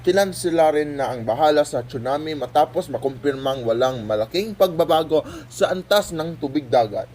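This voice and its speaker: Bright-voiced male news anchor. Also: a little high. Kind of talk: formal scripted news bulletin.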